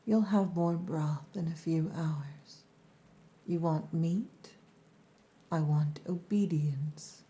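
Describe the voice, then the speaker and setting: A woman acting a stern, possessive orc huntress in an ASMR roleplay, breathy and commanding, often close to a whisper. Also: low voice, slow, warm tone. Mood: strict, grudging care.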